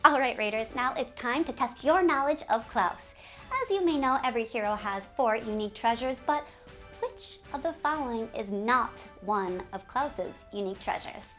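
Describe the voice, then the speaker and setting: High-voiced woman hosting an upbeat video. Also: normal speed, soft background music. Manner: animated, giggly, addresses viewers directly. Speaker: host of a game community video series. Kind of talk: upbeat scripted video presenting with ad-libbed asides.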